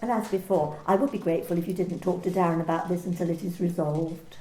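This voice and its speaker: An amateur actress playing a formal, officious female workplace manager in a radio play, measured and precise. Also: lowish voice, dark tone, roomy sound, a little hiss or hum, close to an everyday voice.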